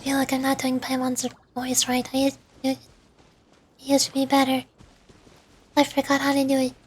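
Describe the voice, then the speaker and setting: High-voiced woman streaming a game. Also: monotone, moderate pace, breathy edge. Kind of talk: casual game livestream chat.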